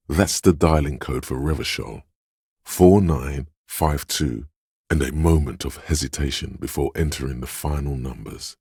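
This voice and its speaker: Brooding inner voice for a game, super deep husky man, measured literary narration, bone dry sound. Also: darkish tone, dry recording, heavily acted. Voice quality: gravelly, husky. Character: man's inner voice, brooding, tactile, mechanically minded.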